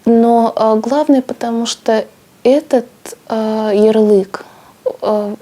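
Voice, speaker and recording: A woman's voice: a little high, steady tone, slow pace, mellow. A young woman who grew up in Canada, speaking reflectively and carefully in a documentary interview.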